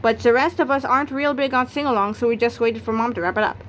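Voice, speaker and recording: Female narrator for a children's comic novel read-aloud, voice a little high, recorded with some background noise. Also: fast, fairly bright tone. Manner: acts out sound effects and exclamations. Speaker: book narrator.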